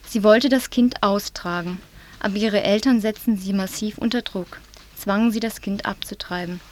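Woman newsreader, measured serious reading, mid-pitched, from southwest Germany. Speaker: news presenter. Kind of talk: read-out news report.

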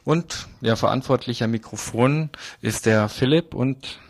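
A man's voice: mid-range voice, measured pace, no room sound. A man hosting a radio news magazine, presenting in a semi-scripted live style.

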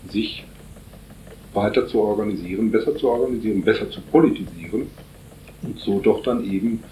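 A man as a guest in a radio interview, speaking haltingly with many fillers and restarts. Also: fairly low pitch, mellow, echoey room, a little hiss or hum, low-quality recording. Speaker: interview guest from a solidarity group.

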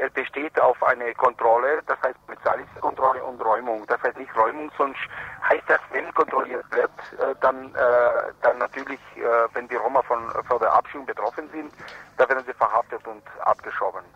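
Male activist phoning in to radio, non-native, mid-pitched and husky, gropes for words in broken grammar, muffled phone sound. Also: fairly even intonation, a little fast. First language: not German. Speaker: activist spokesman for Roma protesters, radio phone-in guest. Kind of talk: live phone interview, spontaneous report and appeal.

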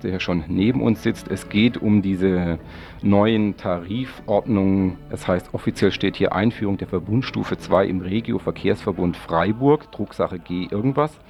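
Deep, gravelly man hosting radio. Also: darkish tone. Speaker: radio host. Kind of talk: informal magazine show introduction and interview. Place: radio studio. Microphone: studio microphone.

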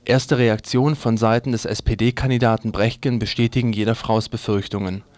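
A man's voice: medium pitch, fairly even intonation, normal speed, a little mellow, no echo. A man reading scripted news items as a radio news presenter. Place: dry radio studio.

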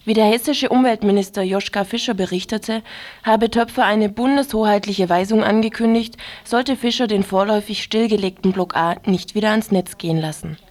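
Mid-pitched female radio newsreader. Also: steady tone, a little fast, a little mellow. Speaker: radio news presenter. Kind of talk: scripted news reading.

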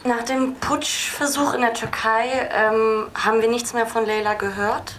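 Woman answering questions, clearly noisy live recording. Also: on the high side, fairly even intonation, unhurried, a bit bright. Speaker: film director at an audience Q&A. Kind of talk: spontaneous interview answers. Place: film festival screening venue.

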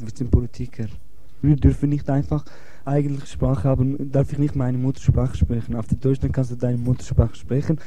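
A man's voice: mid-range voice, warm tone, no echo, poor audio. A man from Kurdistan with a Kurdish accent, speaking earnest, broken German and repeating himself. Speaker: asylum seeker. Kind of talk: casual chat.

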